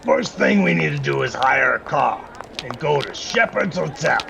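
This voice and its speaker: A man's voice: mid-range voice, lively intonation, normal speed, gravelly, noisy background. A man playing a dry, organized tour manager in 1940s-style radio comedy. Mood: businesslike.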